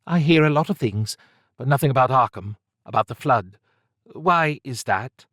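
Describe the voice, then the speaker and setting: Man voicing a cool, sardonic woman agent, pitched up. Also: lively intonation, normal speed, a bit bright, dry recording. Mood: probing. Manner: pointed, dry, needling.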